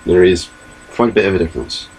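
A man's voice: low voice, quick pace, darkish tone, slight background noise, low-quality recording. A young man giving a rambling, chatty webcam update from his small bedroom, recorded on his laptop mic. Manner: rambling, chatty, gestures a lot. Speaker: vlogger.

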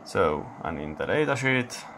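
Male hobbyist presenter, unhurried and matter-of-fact, halting delivery, mid-range voice, faint noise behind. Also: fairly low pitch, sing-song, vocal fry, a bit bright. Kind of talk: casual technical demonstration. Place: indoor electronics workbench.

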